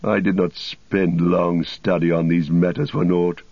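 A man voicing a sneering fallen old wizard reduced to beggary, in a cracked, husky voice with mocking archaic phrasing, as in an audiobook. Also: sits low, flat delivery, a little slow, no echo, muffled recording, heavily acted. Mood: scornful, gloating.

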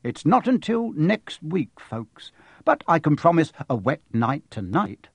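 A man's voice: fairly high pitch, very animated, a little slow, slightly rough, no room sound, voice pushed up, exaggerated voice. A man voicing a genial, folksy male television weather forecaster with a chatty delivery in an audiobook.

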